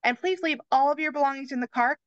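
A woman with a fairly high voice talks in a friendly way through camp procedures on a video call. Her sound is dry and a little muffled, as from a basic webcam mic. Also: fast, a bit bright, dry recording. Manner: friendly, addresses listeners as you guys. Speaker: camp staff presenter. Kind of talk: slideshow briefing.

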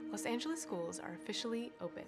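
Woman playing a hesitant news anchor, kinda high voice, loud music under it. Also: lively intonation, quick pace. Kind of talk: scripted drama acting.

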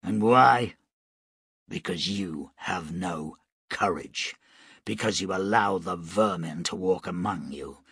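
A man doing a grim, low-voiced gunman. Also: slow, a bit bright, husky, dry recording, studio quality, heavily acted. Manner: stern, commanding.

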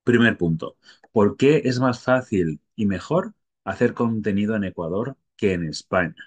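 Low-voiced Spanish man rambling to camera. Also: expressive, normal speed, husky. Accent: Spain Spanish. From Spain. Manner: rambling, thinking aloud, tag questions. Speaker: stock photographer vlogger. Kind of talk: casual talk to camera.

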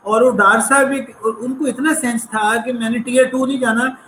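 Interview guest, male, slight American accent, earnest and reflective, higher voice, roomy recording. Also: fairly even intonation, moderate pace, bright, forceful tone, a little hiss or hum. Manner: earnest, reflective, sprinkles English phrases. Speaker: entrepreneurship professor, guest. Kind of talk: conversational interview storytelling.

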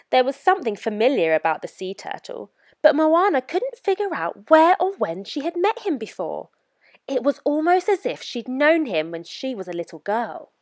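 A woman narrating a children's picture book in an expressive, clearly paced read-aloud manner for young listeners. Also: a little high. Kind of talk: expressive scripted storybook narration.

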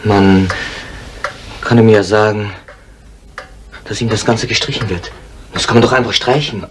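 A man's voice: lowish voice, fairly even intonation, a little slow, close to an everyday voice. An actor playing a calculating man in a 1970s radio crime drama, speaking in a terse, urging way. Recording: faint hiss on old radio recording.

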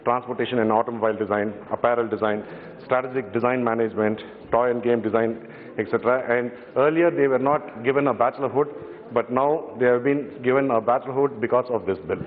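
A middle-aged man with a Tamil Indian English accent, speaking in parliament from notes, with frequent pauses and fillers. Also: medium pitch, steady tone. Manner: hesitant, many fillers and restarts, glancing at notes. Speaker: member of parliament. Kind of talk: parliamentary speech. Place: large parliament chamber. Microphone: desk microphone from standing. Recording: echoing hall, phone-like broadcast audio.

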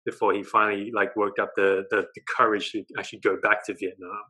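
A man's voice: fairly low pitch, fast talker. A young man with an Australian accent, a guest on a video call interview, telling his story with lots of gestures and a habit of adding "right?". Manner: animated storytelling, gestures a lot, tags lines with "right?". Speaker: guest, dating coach. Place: living room at home.

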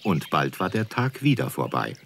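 A man with a gravelly voice narrating a scripted children's story. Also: a bass voice, big pitch swings, moderate pace, background noise.

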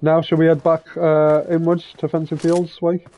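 A man's voice: on the high side, monotone, moderate pace, highs cut off. A man, chatting casually and encouraging his family while they play a game together. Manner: encouraging, directing the team. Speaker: streamer leading family squad.

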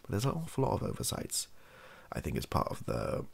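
A British man in his thirties rambling softly and hesitantly in an improvised, self-deprecating ASMR style, with a gravelly, husky voice. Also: deep voice, huge pitch swings, brisk. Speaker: video host, ASMR creator. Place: small bedroom office, indoors.

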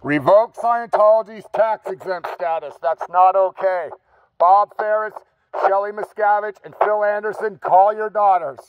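A male activist calls out slogans through a bullhorn outdoors. His voice is high and loud, and he delivers each phrase slowly and deliberately, in a repetitive, declamatory chant. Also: steady tone, smooth, no room sound, phone-quality sound. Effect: bullhorn amplification. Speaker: activist protester. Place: outdoors at a forest roadside.

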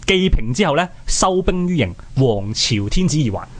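A man's voice: a little high, sing-song, normal speed, slight background noise. A man with a Hong Kong accent co-hosting a history talk, explaining things in a colloquial, conversational way. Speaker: radio history programme co-host.

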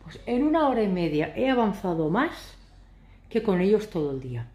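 A woman in her thirties, from Catalonia, chatting to camera in a casual, ironic, rambling way, a little hoarse. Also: mid-range voice, big pitch swings, normal speed, warm tone, echoey room. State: hoarse from shouting. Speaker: vlogger. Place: living room sofa, indoors. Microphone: phone camera at arm's length.

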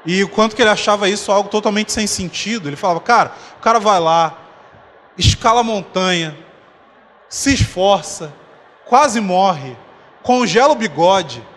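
Man preacher, 30s, fairly high voice, conversational sermon with jokes, handheld mic, long hall echo. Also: expressive, a bit bright. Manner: conversational, explains slowly with humorous asides. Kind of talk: conference sermon. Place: dark church stage. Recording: long hall reverb, faint noise.

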